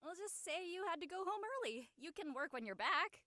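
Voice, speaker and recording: Actress for breezy young female friend, drama, sarcastic, very lively pitch, dry recording. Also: fairly quick, no echo, exaggerated voice. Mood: prodding. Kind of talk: acted dialogue in an audio-led play.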